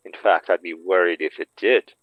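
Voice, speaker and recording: A man's voice: sits low, fairly even intonation, normal speed, no room sound, dull audio. An actor playing a wry, cautious, self-deprecating man in an audio drama, talking in a casual, dry-humored way.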